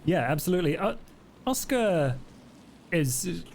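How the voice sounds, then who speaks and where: A man improvising tabletop game narration as game master, halting as he thinks aloud. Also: fairly high pitch, very animated, gravelly, a little hiss or hum.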